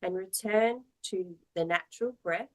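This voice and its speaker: Slow-paced woman guiding yoga meditation. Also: mid-range voice, rough recording. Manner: soothing, measured, gently instructive. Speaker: yoga instructor. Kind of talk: guided meditation instruction for a yoga class.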